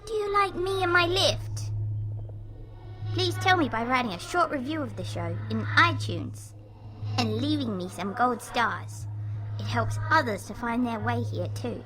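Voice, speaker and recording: A woman's voice: a little high, expressive, unhurried, rough recording. A woman voicing a sweet, eerie little girl with a childlike, coaxing, sing-song delivery in an audio drama promo. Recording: faint music behind the voice.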